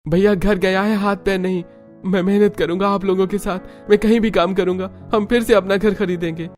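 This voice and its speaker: A man voicing a weak-willed young husband in a cartoon, pleading in a high strained voice. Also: fairly even intonation, normal speed, darkish tone, faint music behind, lightly acted. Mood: desperate. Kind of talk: Hindi cartoon voice acting.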